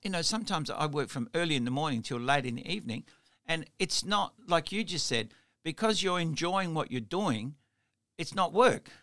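Middle-aged Australian-accented host, slightly high voice, talkative and anecdotal. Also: male voice, animated, normal speed, pushed and bright. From Italy. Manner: chatty storyteller, laughs often, lots of "you know". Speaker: podcast host. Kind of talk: casual interview chat.

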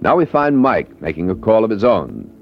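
A man's voice: lowish voice, very animated, moderate pace. A man reading scripted narration as an old-time radio announcer. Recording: dull old radio recording. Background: organ music.

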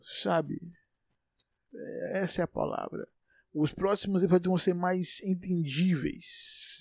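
A man's voice: a little high, a little mellow, no room sound, clean studio sound. Young Bahian man hosting a solo podcast. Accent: Bahian Portuguese. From Salvador, Bahia, Brazil. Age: 20s. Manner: rambling, self-correcting, thinks aloud. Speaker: podcast host. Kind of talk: unedited solo podcast monologue.

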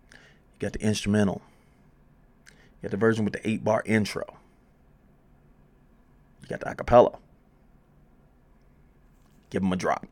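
A man presenting music promotion advice in a casual, conversational way, informally repeating phrases for emphasis. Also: mid-range voice.

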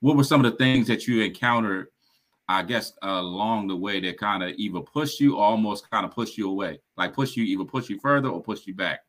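A man's voice: lowish voice, a little fast, fairly bright tone. A man hosting a casual podcast interview who often answers "mm-hmm" and restarts his questions. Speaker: podcast host.

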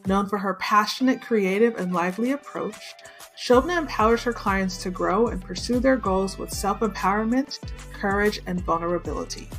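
A woman's voice: mid-range voice, normal speed, a bit bright, soft background music. A woman hosting a podcast interview, speaking in a friendly way that is hesitant and full of fillers. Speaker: podcast host.

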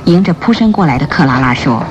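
Female radio drama narrator over loud music. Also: low voice, animated, fast. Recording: dull radio sound under loud music.

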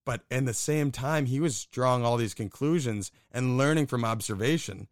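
A man's voice: medium pitch, moderate pace, dry recording. A young man from the Buffalo, New York area talks with a mid-range voice. He tells stories in a loose, candid way and swears now and then. The sound is dry and close to the mic. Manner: storytelling, candid, swears casually. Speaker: podcast co-host. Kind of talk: casual conversational podcast.

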